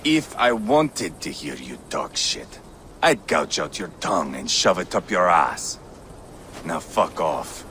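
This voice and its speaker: An actor playing a cruel, mocking Viking warlord in a video game cutscene, with sneering, menacing taunts. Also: male voice, deep voice, very animated, slow, sharp tone, big-room sound, slight background noise, heavily acted.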